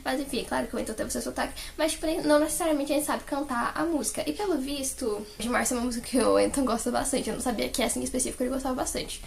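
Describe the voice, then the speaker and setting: A young woman with a Brazilian Portuguese accent chatting casually as a fan, in a gushing, animated way. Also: high-pitched, rapid-fire, vocal fry, few pauses, a little hiss or hum. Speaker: fan vlogger. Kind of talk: casual chat recounting favourite moments.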